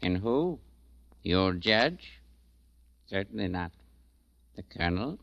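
A quietly sinister schemer from an old radio play. He speaks very slowly in a low voice, smug and over-polite. The vintage recording is muffled. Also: big pitch swings, vocal fry, no echo, full character voice. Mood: mocking. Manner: unhurried, mocking, over-polite. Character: sly, smug young man, falsely sympathetic, quietly sinister schemer. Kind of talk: 1940s radio drama acting.

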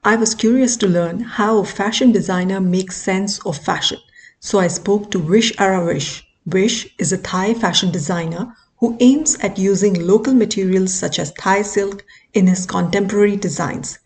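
A woman's voice: mid-range voice, normal speed, a little mellow. Earnest woman podcast host, echoey. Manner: earnest, direct address to listener. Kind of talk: scripted podcast narration.

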